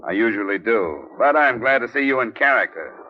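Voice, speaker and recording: A man's voice: medium pitch, lively intonation, brisk, a little hiss or hum, lightly acted. A man playing a tough, wry private investigator in a 1950s radio detective drama, clipped and sardonic, narrating his case. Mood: cool, wry. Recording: dull old radio recording with music.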